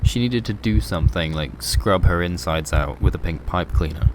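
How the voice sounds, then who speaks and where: Male storyteller for surreal horror fiction, low voice, scripted narration over clearly audible background noise. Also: moderate pace.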